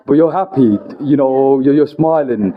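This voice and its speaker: A man's voice: medium pitch, moderate pace, narrow, tinny audio. A man hosting an interview vlog, asking long rambling questions full of "you know" and "right?". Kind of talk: casual interview.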